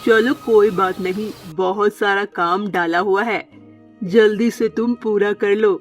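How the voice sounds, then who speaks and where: A woman voicing a lovestruck bachelor male parrot, a gentle employer, earnest and coaxing in a children's cartoon story. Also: medium pitch, fairly even intonation, unhurried, faint music behind, lightly acted. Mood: mildly impatient.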